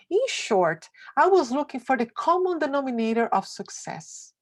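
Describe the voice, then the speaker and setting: A woman reading a motivational essay aloud, expressive and emphatic. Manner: slow, emphatic, with wide swings of pitch. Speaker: reader of a success essay.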